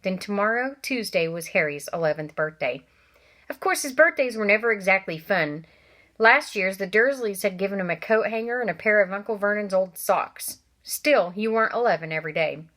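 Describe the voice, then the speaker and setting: A woman, a teacher, reading a novel aloud to children, expressive and stopping for asides to her listeners. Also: medium pitch, moderate pace.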